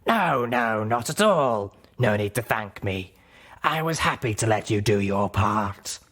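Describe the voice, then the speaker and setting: Mad ghoul pony scientist, audiobook villain, croaky rasp, slow deluded muttering, obsessive. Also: male voice, on the low side, expressive, fairly bright tone, heavily acted. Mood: deluded, encouraging. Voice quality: raspy, gravelly. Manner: slow, muttering, rambling to himself. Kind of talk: audiobook character voice.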